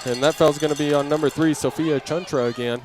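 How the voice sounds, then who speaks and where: A man's voice: fairly high pitch, steady tone, fairly quick, darkish tone, a faint crowd. A man calling live basketball play-by-play for an audio broadcast in short, clipped phrases, raising his voice with the action. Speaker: play-by-play announcer. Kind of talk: live basketball radio commentary. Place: indoor basketball gym during game. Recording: noisy gym ambience, bouncing balls.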